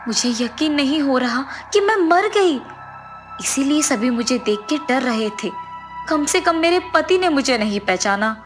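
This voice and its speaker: A woman's voice: sits high, lively intonation, a little slow, faint music behind. A woman voicing the anxious, remorseful ghost of a dead wife in a cartoon story, earnest and pleading. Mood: sorrowful, resolved.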